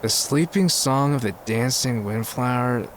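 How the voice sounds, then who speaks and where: Guy playing smooth rich dude courting his date, easygoing, mid pitch, faint noise behind. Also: measured pace, lightly acted. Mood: smitten, smooth. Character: suave, smitten rich man hosting his date. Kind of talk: audio drama acting.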